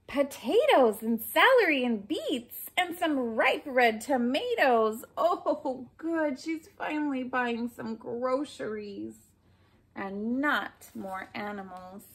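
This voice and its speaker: Female storybook reader, forties, unhurried and very lively in intonation, talking directly to small children. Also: high-pitched, slightly rough. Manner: expressive, addressing young listeners with questions and asides. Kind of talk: children's picture book read-aloud. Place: small furnished room at home, indoors.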